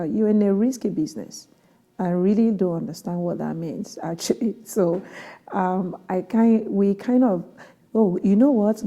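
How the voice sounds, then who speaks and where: Woman with a real dark mellow voice, earnest, lots of uh and um. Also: medium pitch. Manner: earnest, storytelling with hesitations. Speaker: wholesale business owner. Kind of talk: interview answers.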